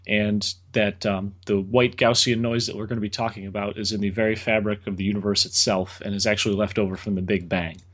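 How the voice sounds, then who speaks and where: A man's voice: on the low side, fairly bright tone. A man, a guest engineer on a podcast, explaining technical ideas casually with dry joking asides.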